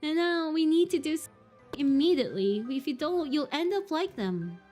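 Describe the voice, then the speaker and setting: Woman streamer reading a scared, urgent high school girl, fairly high voice. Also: very animated, normal speed, warm tone, soft background music. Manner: urgent, pleading. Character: panicked teenage schoolgirl narrator.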